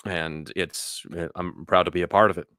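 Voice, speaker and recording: A man with a very deep voice and a bright, forceful edge chats informally as an interview guest. The sound is close and dry, and he talks like a writer and actor discussing his craft. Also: steady tone, moderate pace, dry recording. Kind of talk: casual interview chat.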